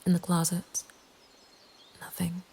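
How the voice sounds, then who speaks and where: A woman narrating a scary story in a breathy, hushed ASMR whisper, slow and deliberate. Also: lowish voice, completely flat delivery. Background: nature ambience.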